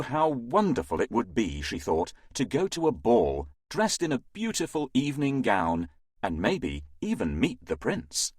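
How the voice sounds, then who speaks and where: Very expressive, husky male storyteller. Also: medium pitch, dry recording, clean studio sound. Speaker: narrator. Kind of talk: expressive scripted children's story narration.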